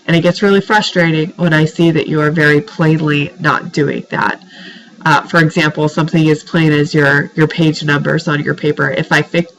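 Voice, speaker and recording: A woman's voice: low-pitched, poor audio. Woman, writing teacher, congested nose from a head cold, exasperated and emphatic, informal recorded feedback.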